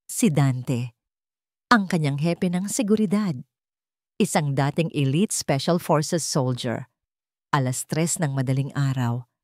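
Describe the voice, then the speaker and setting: Low-voiced Filipino woman narrating dramatic stories. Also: darkish tone, dry recording, clean studio sound. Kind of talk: scripted dramatic story narration.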